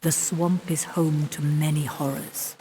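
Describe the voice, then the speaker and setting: A woman playing a grave storyteller who narrates a fantasy video game with theatrical, ominous and deliberate phrasing. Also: sits low, steady tone, a little hiss or hum. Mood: urgent, foreboding. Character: grave, dramatic female storyteller of a fantasy adventure. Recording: loud music behind. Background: dramatic game music.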